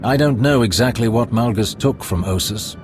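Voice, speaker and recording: A man's voice: mid-range voice, lightly acted. An actor playing a formal, deferential male Imperial spymaster in a game cutscene. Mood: reserved. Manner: formal, courteous, deliberate. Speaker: advisor. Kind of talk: video game cutscene acting. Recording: music score behind.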